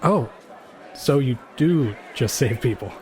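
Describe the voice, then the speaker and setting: A man's voice: mid-range voice, animated, takes it slowly, mellow, some chatter behind, slight background noise. An actor playing a nervous, awkward but friendly young man in an audio drama, stammering bashfully.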